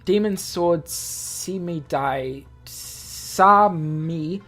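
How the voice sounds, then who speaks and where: A grandiose, bossy young man as a woman reader plays him, theatrical and self-important in a visual novel. The voice is low for a woman, with faint music behind. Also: extremely slow, a bit bright, exaggerated voice. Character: pompous, theatrical young man playing mad mentor.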